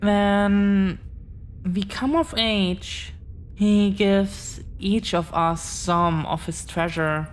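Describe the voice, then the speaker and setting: An affectionate, proud dragon-blooded girlfriend, played by a woman, speaks in an intimate audio roleplay. Her pitch is average for a woman and her delivery is extremely unhurried, teasing, with long pauses. There is clear noise in the background of the recording. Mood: proud, bragging.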